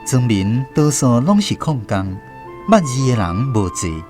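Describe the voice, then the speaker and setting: A man's voice: mid-range voice, sing-song, unhurried, music in the background. A man with a Taiwanese accent narrating a scripted radio drama. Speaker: narrator and host.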